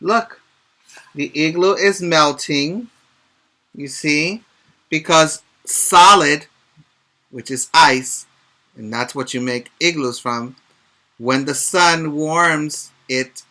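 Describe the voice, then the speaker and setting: A man's voice: mid-range voice, pushed and bright. A male preschool teacher reading a picture book aloud and explaining letter sounds, asking the children questions as he goes. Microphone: home video recording for virtual class.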